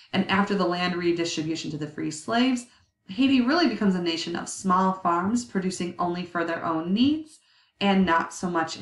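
Woman teacher lecturing over slides, roomy sound. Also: on the low side. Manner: conversational, self-correcting, many ums. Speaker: history teacher. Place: indoor room. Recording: roomy, slightly distant webcam audio.

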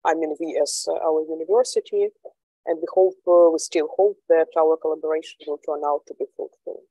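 Dark-voiced young woman moderating a video call. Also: low-pitched, steady tone, fairly quick, no echo, low-quality recording. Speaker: university moderator. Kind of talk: moderating an online lecture.

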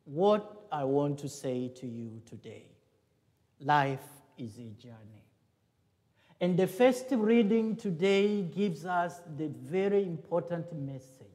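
A middle-aged man from Tanzania preaching a homily in a large church sanctuary. His voice sits high for a man and moves at an unhurried pace. The long reverb of the hall trails after each phrase, picked up from a distance by the church sound system. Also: lively intonation, warm tone. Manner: slow, earnest preaching with rising emphasis. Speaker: priest. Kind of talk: homily at Mass. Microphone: far camera, church sound system.

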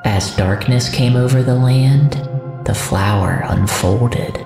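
A man narrating a scripted audio drama in a solemn, deliberate storytelling manner. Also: medium pitch, darkish tone. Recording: echoey, with music underneath. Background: music.

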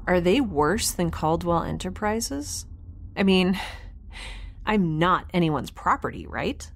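A woman's voice: on the low side, expressive, slow pace, gravelly, a little hiss or hum, heavily acted. A woman playing an earnest, chatty young spaceship resident with a hesitant conversational manner in a science fiction audio drama. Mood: uncertain.

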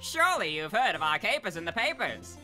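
Woman as a bright, smug boy detective. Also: lowish voice, very animated, a little fast. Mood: smug, peppy. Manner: pompous, chirpy slang. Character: smug, hokey boy detective in old-timey storybook mould. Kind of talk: comic dub voice acting. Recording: faint music behind.

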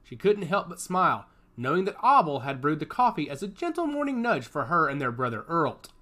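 A man narrating a science fiction audiobook in an expressive storytelling manner. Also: a little high, a little fast.

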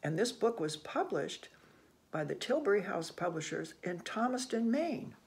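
Older storybook reader with a creaky voice. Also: medium pitch, very animated. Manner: gentle, unhurried, musing with soft hmms and ohs. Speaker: children's read-aloud host. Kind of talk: picture book read-aloud with asides to children. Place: small room indoors at home.

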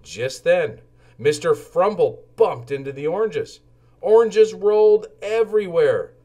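A man narrating a children's storybook aloud in a deliberate, expressive way made for young listeners. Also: high voice.

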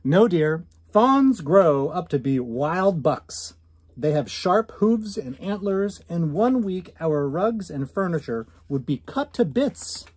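A man's voice: on the high side, sing-song, slow, darkish tone, slightly rough, no echo, voice pushed up, exaggerated voice. A man reading the part of a firm mother in a storybook, refusing and explaining with emphatic scolding. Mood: firm, exasperated.

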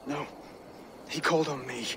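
A man's voice: fairly high pitch, fairly even intonation, moderate pace, background noise, close to an everyday voice. An actor playing a resolute, honourable Saxon king-to-be in a video game, speaking firmly and formally. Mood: grave, determined. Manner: earnest, firm, formal. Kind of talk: video game cutscene acting.

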